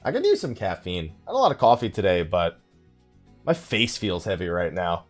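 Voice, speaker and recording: A man giving casual let's play commentary, rambling and thinking out loud with mild exasperation. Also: low voice, wildly swooping pitch, moderate pace. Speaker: gaming video host. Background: game music.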